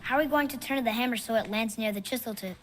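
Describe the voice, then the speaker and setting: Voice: medium pitch, fast, fairly bright tone, slight background noise, lightly acted. A performer playing a curious, earnest young boy adventurer who asks many questions in a video game. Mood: puzzled, thoughtful. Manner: inquisitive, questioning. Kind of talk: naturalistic video game acting.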